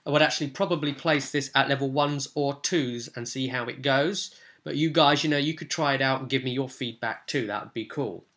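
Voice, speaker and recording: Male gaming vlogger, bright forceful voice, informal walkthrough commentary, chatty and animated in his asides. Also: mid-range voice. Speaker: tabletop gaming vlogger, game master.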